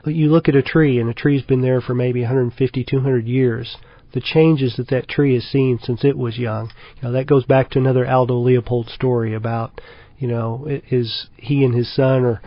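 A man's voice: mid-range voice, dry recording, lo-fi sound. A middle-aged man from Kentucky, a wildlife biologist, talking in an easygoing, thoughtful way as a radio interview guest. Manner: easygoing, thoughtful, lots of fillers.

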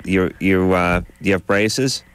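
A man's voice: sits low, takes it slowly, fairly bright tone, smooth. A middle-aged man hosting a radio call-in show, riffing sarcastically with callers and co-hosts on a studio mic. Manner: sarcastic, riffing with comic tangents. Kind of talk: casual radio banter with callers. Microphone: studio microphone.

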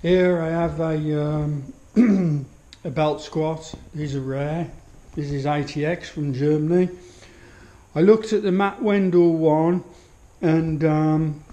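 Slow, mellow-voiced man touring his gym. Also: a little high. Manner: unhurried, full of fillers, admiring. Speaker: home gym owner giving a tour. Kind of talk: casual walkthrough commentary. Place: small home gym in a garden building, indoors. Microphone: action camera held while walking.

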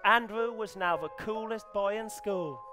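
An English man reads a comic story aloud at a slow, careful pace. His manner is hesitant and earnest, played completely deadpan, like an amateur novelist presenting his work. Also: sits high, over music. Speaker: comedian reading as an amateur novelist character. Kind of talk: live comedy reading, deadpan storytelling. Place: small stage set indoors, before an audience. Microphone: headset.

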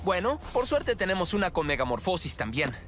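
A man's voice: high-pitched, sing-song, fairly quick, music in the background. A man playing a determined teenage boy hero in a cartoon dub. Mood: urgent. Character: determined heroic teenage boy gang leader.

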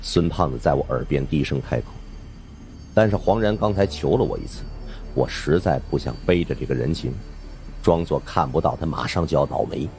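A man's voice: extremely low pitch, a little fast, darkish tone, soft background music. A man giving dramatic scripted narration of supernatural suspense fiction as an audiobook narrator.

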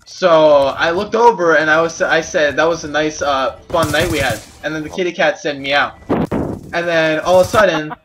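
A man with a high voice makes up a comic story for his friends over game chat. He stumbles along, giggly, repeating his phrases, with clear noise and clicks on the line. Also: moderate pace, a bit bright. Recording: noisy gaming voice chat with clicks.

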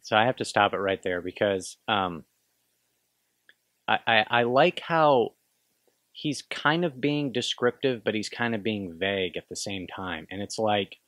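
A man's voice: fairly low pitch, animated, unhurried, fairly bright tone, dry recording. A man in his thirties hosting a casual film breakdown, talking in a rambling, hesitant way into a close microphone in a small room. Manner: rambling, hesitant, full of restarts and "kinda". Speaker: film review host. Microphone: studio microphone close in front.

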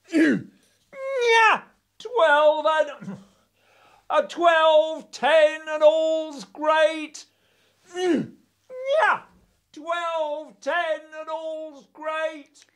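A woman's voice: high voice, animated, very slow, pauses often, full character voice. A woman playing a hesitant, eager-to-please male night watchman in a comic audio play. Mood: proud announcing. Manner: unsure, questioning, easily led.

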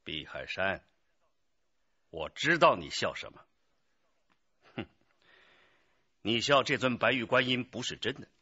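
An actor playing a stern, earnest young police detective lecturing a suspect in a radio drama. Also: male voice, mid-range voice, big pitch swings, unhurried, long pauses, bright, forceful tone, husky, heavily acted. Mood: confident, probing. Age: 20s. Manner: emphatic, lecturing. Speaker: police interrogator. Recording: dull, muffled lo-fi audio.

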